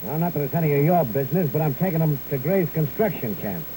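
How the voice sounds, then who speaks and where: An actor playing a determined young hero in a 1930s film serial, brisk and commanding. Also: male voice, on the high side, lively intonation, warm tone, lightly acted, poor audio. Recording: hissy old VHS film transfer.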